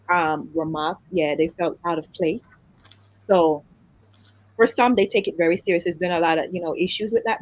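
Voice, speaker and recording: A Jamaican woman with a voice sitting a little low talks through an interview in a relaxed, chatty way. She laughs easily and drifts into fillers between her thoughts. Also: poor audio. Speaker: guest. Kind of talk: casual interview conversation.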